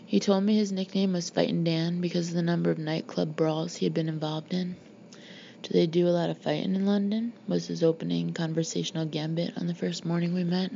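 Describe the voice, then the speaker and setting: A woman's voice: fairly low pitch, little pitch movement, brisk, gravelly, dark tone. A woman reading a memoir aloud as scripted audiobook narration. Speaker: audiobook reader. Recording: dull lo-fi home recording, background noise.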